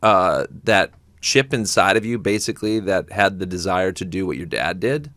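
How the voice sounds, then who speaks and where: A man's voice: sits low, expressive, normal speed, fairly bright tone, no echo. A man hosting a podcast interview, joking and cutting in to back up and sort out the details. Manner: playful, interrupting to back up and clarify. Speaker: podcast host. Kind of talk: casual interview chat.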